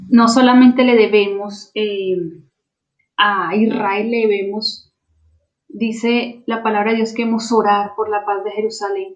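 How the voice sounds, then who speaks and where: A woman's voice: medium pitch, rough recording. A woman pastor hosting a church program, praying fervently with a repetitive cadence.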